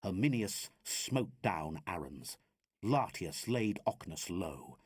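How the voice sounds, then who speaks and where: A man performs narrative verse with theatrical, declamatory weight. His husky voice moves at a very measured pace and swings widely in pitch. The sound is close and dry. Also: sing-song, no echo. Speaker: reader of narrative poetry. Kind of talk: dramatic recited narrative poem.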